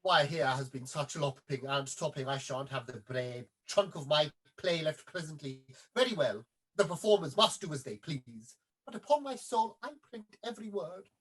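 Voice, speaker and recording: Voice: medium pitch, animated, normal speed, slightly rough, poor audio. A performer playing a vain, fussy playwright who is indignant and self-satisfied, in a satirical stage comedy. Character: fussy, vain, exasperated playwright overseeing a rehearsal.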